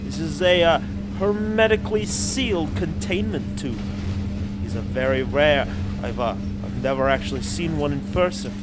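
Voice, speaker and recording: A man playing a mild, curious junior scientist in an audio drama, with a hesitant, measured manner. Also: on the high side, over loud music, lightly acted. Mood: intrigued.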